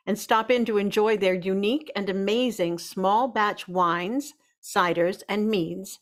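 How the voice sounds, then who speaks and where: A woman working as narrator and announcer, reading a script for a radio drama broadcast. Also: mid-range voice.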